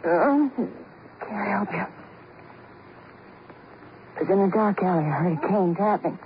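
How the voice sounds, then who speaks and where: A woman's voice: mid-range voice, unhurried, natural voice. A woman playing a hurt, defiant young waitress in an old radio drama, slangy and bitter yet fragile. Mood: weary, hurt. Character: bitter, wounded tough young waitress in hospital. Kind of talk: 1940s-50s radio drama acting. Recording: muffled old radio recording, faint noise.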